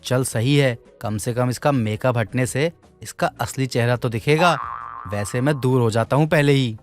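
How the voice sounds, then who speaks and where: Casting: man as cheeky cartoon college boy, medium pitch, slangy, teasing and full of bluster. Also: normal speed, faint music behind, close to an everyday voice. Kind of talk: Hindi animated moral story dialogue.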